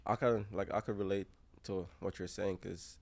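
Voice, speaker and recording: A man's voice: low-pitched, steady tone, normal speed, vocal fry, a little mellow. A man with a Jamaican accent, co-hosting a podcast in a laid-back, swaggering way. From Kingston, Jamaica. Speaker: podcast co-host. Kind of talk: casual podcast chat.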